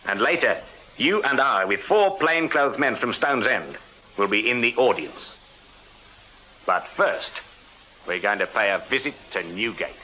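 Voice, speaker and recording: Sharp, stern Victorian detective sergeant. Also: male voice, medium pitch, animated, unhurried, pauses often. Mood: businesslike. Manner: brusque, commanding, dryly sarcastic. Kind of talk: radio drama acting. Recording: dull, roomy, noisy radio drama copy.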